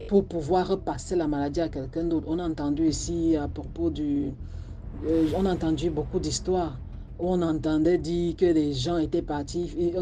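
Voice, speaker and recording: A woman's voice: low voice, warm tone, slight background noise. A woman hosting a story show, commenting on a listener's story and earnestly giving advice to the audience. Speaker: host.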